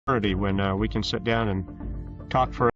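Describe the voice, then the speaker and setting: Lower-voiced older man, slightly dull recording. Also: steady tone, slow pace, fairly bright tone, faint music behind. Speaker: fiction author, podcast guest. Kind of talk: casual interview chat.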